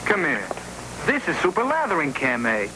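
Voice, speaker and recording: A man's voice: fairly high pitch, wildly swooping pitch, moderate pace. A man playing an eager, seductive soap salesman in a comic vintage television commercial. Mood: eager, coaxing. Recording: noisy old television soundtrack.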